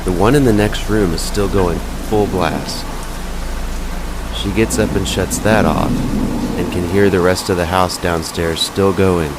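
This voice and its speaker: A man reading scripted ghost stories as a narrator, in a measured, deliberate way with pauses. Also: low-pitched, steady tone, very noisy.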